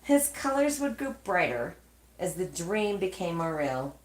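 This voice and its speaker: Animated woman storyteller in an echoey room. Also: fairly low pitch, a little slow. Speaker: storyteller reading aloud. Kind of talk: expressive children's storybook reading. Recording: echoey, roomy sound.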